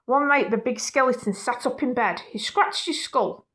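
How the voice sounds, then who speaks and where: A woman reading a children's picture book aloud in an animated way, with casual, playful, apologetic asides. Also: fairly high pitch, moderate pace. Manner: playful, self-interrupting, apologetic.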